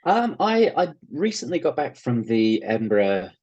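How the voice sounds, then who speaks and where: A man's voice: mid-range voice, sing-song, normal speed, a little mellow, breathy edge, lo-fi sound. An Australian man speaking casually as an interview guest, rambling and hedging as he goes. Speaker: interview guest, playwright and actor.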